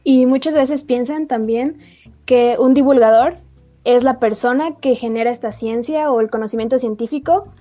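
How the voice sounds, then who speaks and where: A woman's voice: a little high, moderate pace, dark tone, faint music behind. An eighteen-year-old woman with a Mexican Spanish accent, a podcast guest talking earnestly with small restarts. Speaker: guest, youth science society member. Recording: muffled lo-fi mic.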